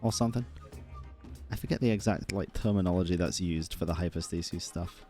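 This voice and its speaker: Casual rambling man streaming a game. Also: medium pitch. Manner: rambling, slangy. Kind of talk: casual game reaction commentary. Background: game music.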